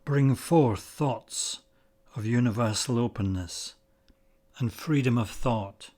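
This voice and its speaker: A man leading a guided meditation, speaking in measured, deliberate short phrases with pauses between them. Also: medium pitch, warm tone. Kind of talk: guided meditation, slow spoken instruction.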